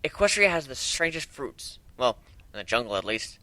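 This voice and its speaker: Bright-voiced man reading a story. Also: medium pitch, big pitch swings, moderate pace, no room sound. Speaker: amateur narrator. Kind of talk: dramatic story reading, first-person narration.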